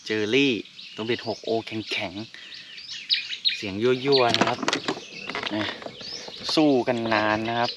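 Excitable young man fishing from a kayak. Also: medium pitch, takes it slowly, long pauses, far from the mic, heavy background noise. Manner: exclaims loudly at each catch, calmer when explaining. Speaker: fishing vlogger. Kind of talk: excited casual commentary while fishing. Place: kayak on a canal outdoors. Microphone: action camera on the boat. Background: music.

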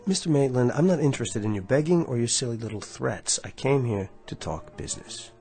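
An actor playing a smug, smooth-talking self-made man in a radio drama, confident and insistent. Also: medium pitch, animated, fairly quick, mellow, lightly acted. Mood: cold. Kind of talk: vintage radio drama acting. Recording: old radio drama with faint music.

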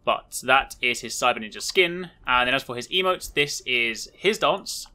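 A man's voice: mid-range voice, expressive, moderate pace, sharp tone. A man giving an upbeat, casual and enthusiastic video walkthrough as a gaming host.

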